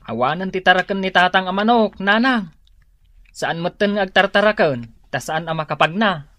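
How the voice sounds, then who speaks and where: A woman's voice: on the low side, lively intonation, normal speed, a bit bright, dry recording, clean studio sound. A woman playing a questioning, doubtful grown daughter in Ilocano radio drama, with an Ilocano accent.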